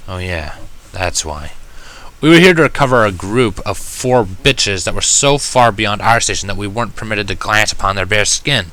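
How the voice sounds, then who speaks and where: Low-pitched male storyteller, bright and forceful. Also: animated, moderate pace. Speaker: fan fiction reader. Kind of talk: first-person dramatic story narration. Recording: music behind the voice. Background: music.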